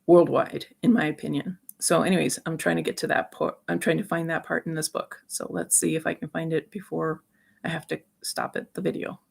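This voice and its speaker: Woman vlogger, lowish gravelly voice, halting and aggrieved, airing opinions off the cuff. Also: level tone. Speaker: video host. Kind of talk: rambling opinion commentary to camera.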